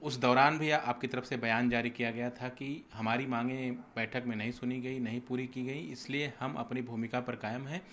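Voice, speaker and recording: A man's voice: mid-range voice, fairly even intonation, moderate pace, few pauses, fairly bright tone. A man working as a television news reporter, putting probing, formal questions in an interview. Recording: noisy location sound, background ambience.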